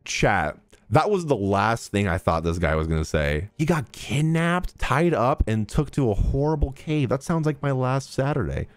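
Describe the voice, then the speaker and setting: A young man reacts casually to a game on stream. His mid-pitched voice is animated and jokey, recorded dry with faint music behind. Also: moderate pace, dry recording. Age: young adult. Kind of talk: casual live reaction commentary. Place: small indoor streaming room.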